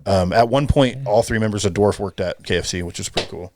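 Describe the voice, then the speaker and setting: Fast-talking low-voiced guy in his 30s. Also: noisy background. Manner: rambling, quick, full of restarts. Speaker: podcast guest. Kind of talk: casual chat.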